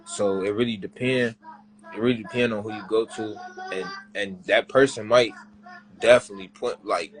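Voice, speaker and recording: Deep-voiced young rapper talking shop. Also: male voice, fairly even intonation, moderate pace, poor audio. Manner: rambling, repeats himself, loose slang. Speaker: rapper being interviewed. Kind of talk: casual interview chat.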